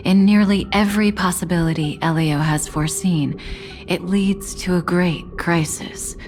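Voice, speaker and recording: A woman playing a composed, enigmatic adult woman in a video game, tender yet commanding, with measured, solemn delivery that is hushed at times. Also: fairly low pitch, steady tone, faint music behind, natural voice. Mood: solemn, knowing.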